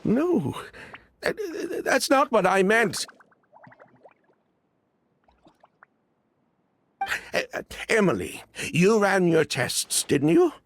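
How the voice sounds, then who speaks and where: A man's voice: high-pitched, wildly swooping pitch, slow pace, full character voice. An actor playing an anxious, shaken man with a secret in a video game, speaking hesitantly and stammering. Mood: panicked, defensive. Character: anxious, shaken man hiding a secret, grieving his brother. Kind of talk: dramatic video game voice acting.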